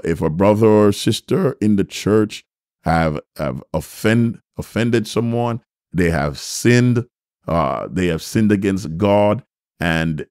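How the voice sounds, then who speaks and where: A middle-aged man teaches from the Bible like a preacher at a desk. His voice sits on the low side, and he is deliberate, restating each point for emphasis. The sound is dry and close on the microphone. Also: darkish tone, no room sound. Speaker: bishop and host of a church teaching show. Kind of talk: sermon-like Bible teaching to camera. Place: small furnished room, indoors. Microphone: microphone on a stand, close in front.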